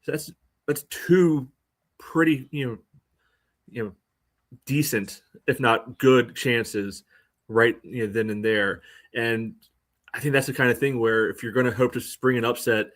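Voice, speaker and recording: A man co-hosting a sports podcast, analysing in a casual, halting way full of verbal tics. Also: medium pitch, fairly even intonation, fairly bright tone. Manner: halting, peppers speech with "you know".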